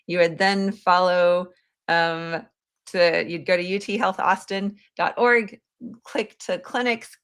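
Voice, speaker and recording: A woman's voice: fairly low pitch, gravelly, a bit bright. A woman hosting a podcast in a casual, conversational interview style. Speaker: podcast host.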